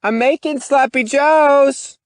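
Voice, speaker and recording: A middle-aged mother with a raspy, piercing voice hollers from down the hall, as a man puts her on. She is pitched far above a man's usual register and pushes every call out at full volume. It sits as a storybook side character in an audiobook. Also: steady tone, slow pace, studio quality. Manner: shouting from another room. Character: loud shrill middle-aged mother yelling through walls.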